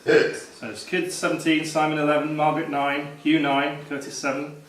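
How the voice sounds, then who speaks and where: A man's voice: on the high side, level tone, normal speed, fairly bright tone. A man acting as quizmaster, reading out questions to contestants. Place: echoey indoor room. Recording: echoey room, distant mic, noisy.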